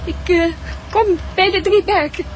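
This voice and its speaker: A nervous, polite woman in an old radio thriller. Her voice is extremely high, hesitant and anxious. There is clear noise on the recording. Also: measured pace. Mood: frightened. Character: nervous, polite woman acting as police decoy. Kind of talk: Dutch radio play acting.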